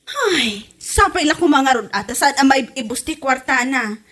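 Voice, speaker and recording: A woman's voice: sits high, animated, moderate pace, a bit bright. A woman playing a hard-up, complaining villager in an Ilocano comedy drama, whiny and exasperated, with an Ilocano accent. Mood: grumbling.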